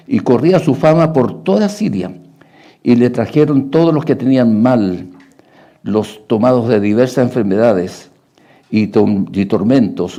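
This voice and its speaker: Gravelly, very mellow man preaching. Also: medium pitch. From Argentina, Paraguay and Chile. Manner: halting, reflective, pauses between short phrases. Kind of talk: sermon with Bible readings.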